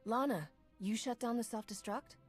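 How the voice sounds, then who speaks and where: An actress playing a confident, steady female Republic hero in a video game cutscene, direct and firm. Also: a little high, normal speed, faint music behind, lightly acted.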